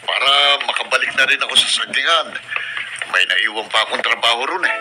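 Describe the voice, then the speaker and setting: A man's voice: medium pitch, animated, fairly quick, close to an everyday voice. A Filipino man playing a genial, reassuring plantation worker in a radio drama, folksy and friendly. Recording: very noisy radio drama recording.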